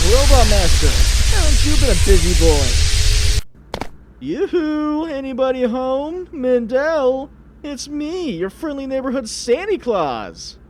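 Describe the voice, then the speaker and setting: Guy doing an excitable jokey young superhero, high voice that swings all over, comic dub, noise behind. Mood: mock cheerful. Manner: theatrical, joking, exclamatory. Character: wisecracking young male superhero, chatty, excitable, nervy. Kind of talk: comic dub narration and dialogue.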